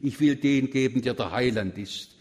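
A man's voice: mid-range voice, normal speed, darkish tone, breathy edge. A man with a Swabian accent preaching a sermon, emphatic and at times rising to fervent proclamation. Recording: long reverb of a church.

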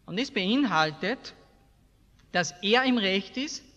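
An Austrian-accented man preaches in a high voice that rings through a reverberant hall. His delivery is blunt and emphatic, in everyday colloquial speech. He often puts rhetorical questions to his listeners. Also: animated, slow pace, bright, forceful tone, lo-fi sound. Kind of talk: colloquial evangelical sermon. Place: large hall, preaching to a seated congregation. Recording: echoey hall with long reverb.

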